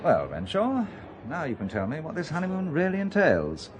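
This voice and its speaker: A man's voice: a little high, wildly swooping pitch, normal speed. A man playing a sardonic, reluctant amateur spy, a married textile merchant, in an audio drama with dry wit. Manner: dry, sarcastic.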